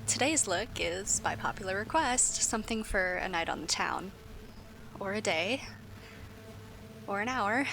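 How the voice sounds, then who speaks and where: A woman playing an anxious, housebound woman who confides in halting, self-deprecating chatter over a radio broadcast. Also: medium pitch, gravelly, a bit bright. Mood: nervous, chatty. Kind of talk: post-apocalyptic radio broadcast monologue. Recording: noisy radio transmission, ambient hiss.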